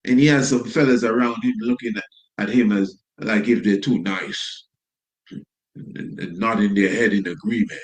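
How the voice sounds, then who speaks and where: A man's voice: fairly low pitch, steady tone, moderate pace, rough recording. A man giving informal spoken commentary in a preachy, incredulous way, often throwing out rhetorical questions. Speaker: commentator reacting to news clips.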